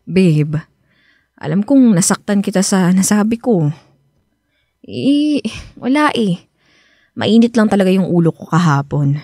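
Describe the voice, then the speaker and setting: A woman's voice: medium pitch, lively intonation, measured pace, long pauses, mellow, no echo, studio quality. A woman acting a young, defensive but loving girlfriend in a Filipino radio drama, emotional and conversational. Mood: soft, apologetic.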